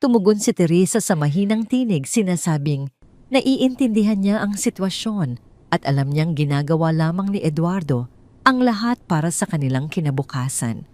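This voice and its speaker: Woman, Filipino accent, very low voice, dark mellow tone, dramatic scripted story narration. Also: animated, moderate pace.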